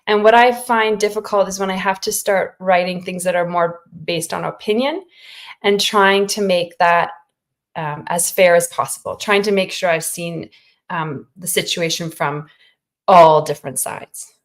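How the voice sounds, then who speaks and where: A woman's voice: on the low side, fairly even intonation, a little slow. A middle-aged woman, an author, giving thoughtful, encouraging answers to questions in a conversational way. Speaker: children's author, interview guest.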